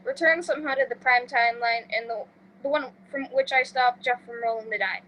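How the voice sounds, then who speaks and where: Casting: female reader as an earnest young male oddball playing evil, fairly high voice. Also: brisk, pushed and bright, a little hiss or hum, poor audio. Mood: solemnly scheming. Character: earnest odd young man turned solemn would-be villain. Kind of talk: amateur sitcom table read.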